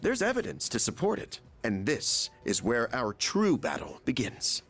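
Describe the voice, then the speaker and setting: A man's voice: mid-range voice, wildly swooping pitch, unhurried, fairly bright tone, faint music behind, full character voice. A man playing a genial, persuasive middle-aged school chairman in a video game, explaining things eagerly.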